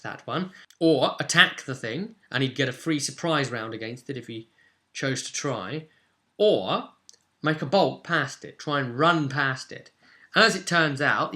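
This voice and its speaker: Man, very bright forceful voice, medium pitch, casual game master recap, rambling and explanatory, emphatic stresses. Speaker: game master recounting a session.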